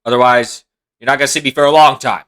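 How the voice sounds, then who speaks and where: A man with a bright, forceful voice, talking casually on a stream. He teases and puts on mock indignation. His pitch is mid-range for a man. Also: fairly even intonation, fast talker, clean tone.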